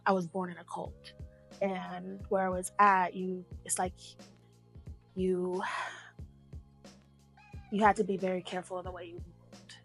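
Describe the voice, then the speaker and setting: A woman guest from Memphis whose first language is Arabic, speaking casually with fillers and restarts. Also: on the low side. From Memphis, Tennessee, US. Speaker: guest artist. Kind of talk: casual conversational interview.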